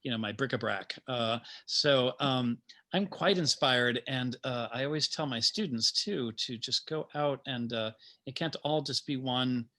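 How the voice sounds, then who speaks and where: A man speaking informally as a guest playwright about his creative process, in a halting, thoughtful manner. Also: medium pitch, sharp tone. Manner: halting, thoughtful, chuckling asides.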